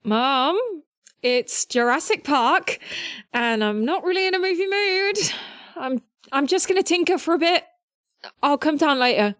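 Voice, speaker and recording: A woman's voice: high voice, lively intonation, measured pace, a bit bright, no room sound, clean studio sound. A woman playing a nerdy, curious, snarky teenage inventor in an audio drama. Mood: reluctant.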